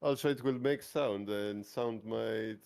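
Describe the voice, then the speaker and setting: A man's voice: lowish voice, measured pace, vocal fry, a little mellow. A man talking casually as a player in a tabletop roleplaying game, reasoning things through.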